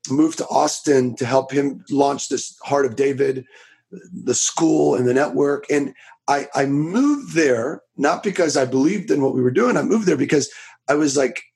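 Raspy loud-talking preacher man. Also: mid-range voice. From Florida. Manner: talks loud and fast, rambling with restarts. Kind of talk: casual testimony in a podcast interview.

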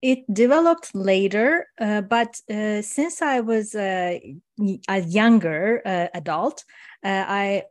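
A middle-aged woman from Turkey, a native Turkish speaker, talking casually as an interview guest in a soft, unhurried way with many fillers. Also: medium pitch. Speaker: guest, meditation coach.

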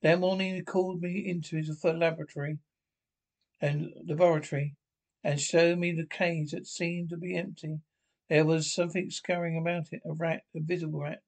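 A man narrating a radio thriller script aloud, reading haltingly and stumbling over the text. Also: a little high, level tone.

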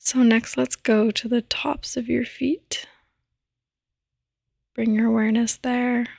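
Slow, soft woman guiding sleep meditation. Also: on the high side, little pitch movement, no room sound, clean studio sound. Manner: soft, breathy, often near a whisper; soothing, gentle, unhurried. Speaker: podcast host and meditation guide. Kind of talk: guided meditation, sleep podcast.

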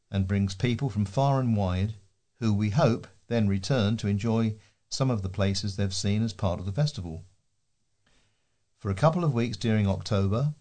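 Male volunteer newsreader, fairly low pitch, reading prepared community features. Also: dark tone. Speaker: volunteer newsreader for a talking newspaper. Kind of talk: read-aloud scripted local news feature.

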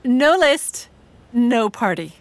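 Woman playing a high-voiced, genteel, shrewd lady. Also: big pitch swings, slow pace, dry recording, full character voice. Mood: firm, arch. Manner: formal, precise, dryly pointed. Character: genteel, shrewd, sceptical mother with dry wit. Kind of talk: audio drama dialogue.

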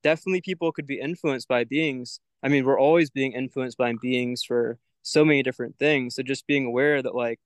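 A man's voice: mid-range voice, fairly even intonation, a little fast, few pauses, no echo, clean studio sound. A young man as a podcast guest, talking in a thoughtful, searching way with many "like" fillers. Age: young adult. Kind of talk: reflective spiritual conversation.

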